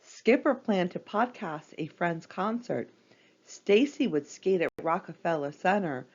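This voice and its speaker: A woman reads a children's storybook aloud with a very lively, swooping delivery. Her voice sits at a medium pitch and plays up the drama for young listeners. The recording sounds slightly dull and lo-fi. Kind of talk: scripted read-aloud storytelling.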